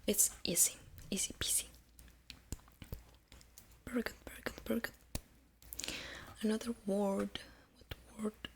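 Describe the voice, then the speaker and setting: Whispering woman, slow ASMR. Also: mid-range voice, level tone, vocal fry. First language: Spanish. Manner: soft unvoiced whisper, slow and repetitive. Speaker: Spanish teacher. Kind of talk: whispered ASMR language lesson.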